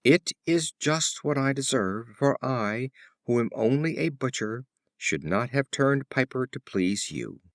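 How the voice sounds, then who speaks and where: A man's voice: medium pitch, animated, a little slow, dry recording, studio quality. A man voicing a rueful, resigned wolf who blames himself, speaking formally in a fable. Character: rueful, self-blaming wolf, beaten and resigned. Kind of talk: animal fable storytelling.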